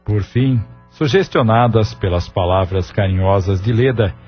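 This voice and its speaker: Low-voiced solemn Brazilian storyteller read by a man. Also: unhurried, lightly acted. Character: solemn male narrator of a sentimental family novel. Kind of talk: scripted audio-novel narration. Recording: dull lo-fi audio under music.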